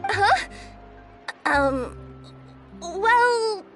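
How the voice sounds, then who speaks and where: A woman's voice: extremely high pitch, expressive, extremely slow, full character voice. A woman voicing an earnest, anxious young female courier in a video game, sweet and hesitant. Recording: music playing behind the voice.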